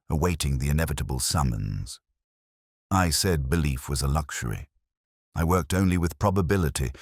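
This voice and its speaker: An actor playing a weary, melancholy middle-aged detective, narrating a scripted mystery in a measured, literary, sombre way. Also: male voice, rumbling low, no room sound, clean studio sound, heavily acted. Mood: melancholy, reflective.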